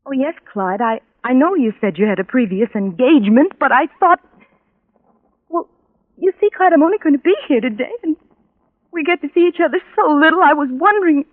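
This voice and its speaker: An actress playing a desperate, lovesick young woman in a 1940s radio drama, with a halting, pleading, melodramatic delivery. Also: high-pitched, animated. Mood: nervously polite. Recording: tinny band-limited old radio recording.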